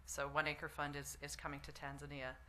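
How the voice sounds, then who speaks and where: Bright, forceful woman with a deep voice. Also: completely flat delivery, brisk, a little hiss or hum. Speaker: foundation representative on a press panel. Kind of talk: unscripted answers at a press conference. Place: press conference room.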